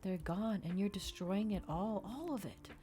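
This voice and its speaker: A woman's voice: mid-range voice, normal speed, mellow, slight background noise, pitched above the performer's own voice, natural voice. A woman voicing a volatile, easily angered male vampire in a storybook reading, with a strained, urgent manner. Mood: alarmed, angry. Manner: strained, urgent whispering and exclaiming.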